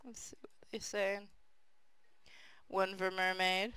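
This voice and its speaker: A woman's voice: medium pitch, little pitch movement, slow, bright, forceful tone, clean tone, no room sound. A woman hosting a live stream, chatting casually with her viewers and co-host.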